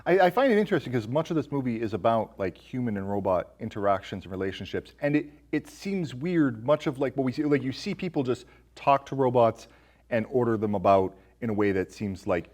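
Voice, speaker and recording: A man's voice: medium pitch, expressive, fairly quick, darkish tone. A man hosting a podcast, talking through films in a conversational, questioning and discursive way. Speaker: podcast host.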